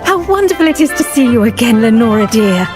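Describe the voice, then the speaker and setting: An actress playing a sweet, cheerful professor of magical plants in a video game, gushing and affectionate. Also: female voice, a little high, sing-song, quick pace, music in the background. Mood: cheerful, doting. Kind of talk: scripted video game dialogue.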